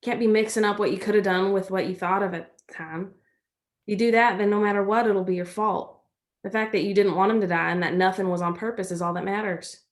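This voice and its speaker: A woman's voice: medium pitch, steady tone, fairly quick, lightly acted. An actress playing a loyal, reassuring young country woman in a stage play reading, plain-spoken and earnest. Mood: earnest reasoning. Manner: folksy, earnest, reasoning things out.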